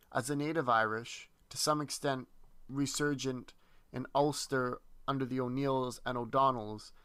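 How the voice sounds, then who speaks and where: A man presenting a history lesson, reading a dense text aloud in a measured way with small slips and restarts. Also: mid-range voice, a bit bright, clean tone, dry recording.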